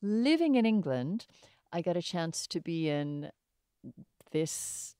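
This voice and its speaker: A woman with a low, resonant voice speaks in a conversational, reflective manner. The recording is dry and close, and she sounds like an actor sharing stories in a relaxed interview chat. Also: slow, clear, resonant tone, dry recording.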